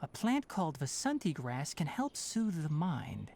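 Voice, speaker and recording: A woman playing a brisk, stern but caring young male forest watcher in a video game, precise and no-nonsense. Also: low voice, sing-song, creaky, a loud crowd, exaggerated voice. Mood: serious, explanatory.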